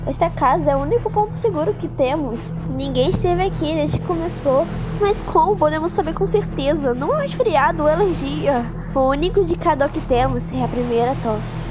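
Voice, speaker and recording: A Brazilian woman voicing an anxious, earnest young female pony in a dramatic animated fan dub. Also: high voice, a little fast, few pauses, background noise. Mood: grave, pleading. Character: anxious young female cartoon ponies, pleading and grave. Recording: loud music under the voice.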